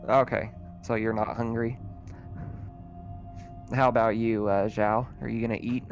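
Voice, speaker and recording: A man's voice: lowish voice, level tone, unhurried, faint music behind. A man running an online tabletop roleplaying game as game master, talking casually and a little hesitantly as he checks rules.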